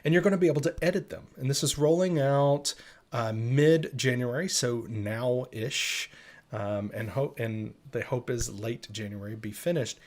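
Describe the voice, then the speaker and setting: A man with an average-pitched voice co-hosts a live tech show. He talks in a casual, conversational style, addressing his audience directly as he explains updates. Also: moderate pace, a little mellow.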